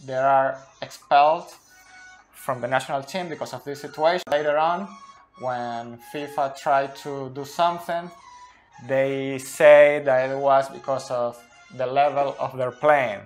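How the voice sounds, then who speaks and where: Slow, earnest man at a whiteboard. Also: mid-range voice. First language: Spanish. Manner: earnest, pointing at a tactics whiteboard while talking. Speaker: football analyst. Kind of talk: unscripted tactical explainer. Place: small indoor room. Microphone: phone camera up close.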